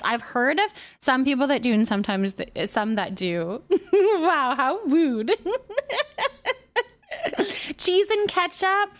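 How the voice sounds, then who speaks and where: A woman chatting casually and playfully as she hosts a livestream and responds to viewers' comments. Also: sits high. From Canada. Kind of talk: casual chat, reading and answering viewer comments.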